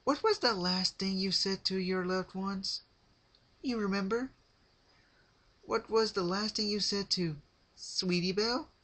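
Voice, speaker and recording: Man putting on a very high, manic girly cartoon pony. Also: a little slow, pauses often, sharp tone, heavily acted. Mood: wistful, chatty. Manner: chirpy, chatty, rambling. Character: manic cheerful female cartoon party pony, sadistic and chatty.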